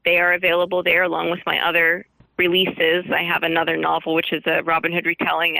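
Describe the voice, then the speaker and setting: A woman's voice: lowish voice, creaky, bright, forceful tone, no room sound, low-quality recording. A woman speaking as a guest author in an interview, explaining earnestly in digressive sentences with formal vocabulary.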